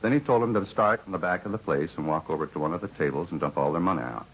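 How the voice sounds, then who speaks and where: Weary, low, husky-voiced American barman. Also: racing, a bit bright, heavily acted. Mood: shaken, earnest. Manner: rambling, plainspoken, resigned. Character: weary, put-upon male bar employee, grumbling witness. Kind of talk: 1950s radio drama acting. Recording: muffled 1950s radio recording.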